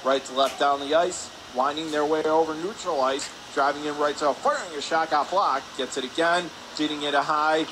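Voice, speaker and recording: A man with a slightly high voice calls a hockey game live for radio. His delivery is brisk and clipped, following the play moment by moment. Loud rink noise runs behind him. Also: steady tone. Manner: brisk clipped present-tense calls, rising on shots. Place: indoor ice rink. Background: loud arena ambience noise.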